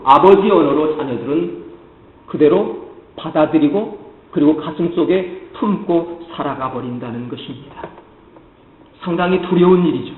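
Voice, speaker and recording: A man with a high voice and a light Jeolla accent preaches a sermon, speaking straight to his congregation. His voice rings through a large church hall with a long echo. The sound is muffled and lo-fi. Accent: Jeolla Korean. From Jeolla, South Korea. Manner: earnest preaching, often addressing the congregation directly. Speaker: pastor. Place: church sanctuary.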